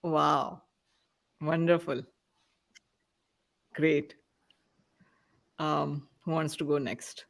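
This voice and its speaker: A woman's voice: sits low, steady tone, extremely slow, vocal fry, long pauses. Woman hosting a panel discussion. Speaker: podcast co-host.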